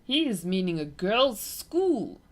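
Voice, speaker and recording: A woman voicing a huge kindly old male giant who mangles his grammar, speaking louder than her narration. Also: medium pitch, sing-song, slow, pitched above the performer's own voice. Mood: gentle. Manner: gentle, mangled grammar, loud.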